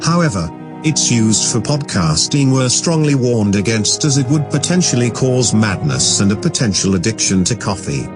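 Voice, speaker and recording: A man's voice: medium pitch, very animated, normal speed. A man reading a scripted, mock-dramatic science fiction introduction as a podcast narrator. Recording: loud music under the voice. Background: music.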